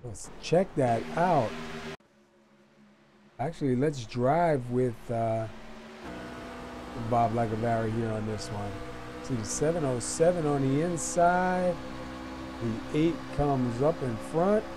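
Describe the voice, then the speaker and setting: A man doing play-by-play commentary on a simulated dirt race, a little hoarse from being sick, with many fillers and bursts of excitement. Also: mid-range voice, takes it slowly, long pauses, noisy background. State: losing his voice after being sick. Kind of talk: sim racing commentary.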